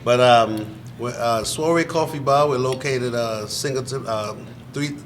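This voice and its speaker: A man from Trinidad with a Trinidadian accent, a guest talking casually about his coffee shop in a relaxed, matter-of-fact way. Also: medium pitch, measured pace, a little hiss or hum. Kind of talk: casual chat.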